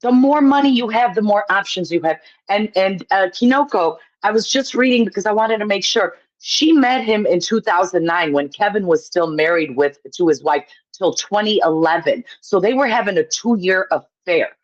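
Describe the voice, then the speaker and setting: A woman's voice: mid-range voice, normal speed, hardly any pauses, dull audio. A woman from Poland, chatting casually as an opinionated call-in guest, emphatic and repeating herself to make a point.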